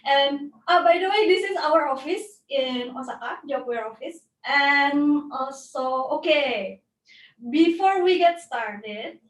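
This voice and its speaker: A woman hosting a casual webinar interview from an office, friendly and quick to laugh. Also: high-pitched, distant mic. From Indonesia. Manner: friendly, laughs often, many backchannels. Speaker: webinar host. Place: office room. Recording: echoey room, voice from afar.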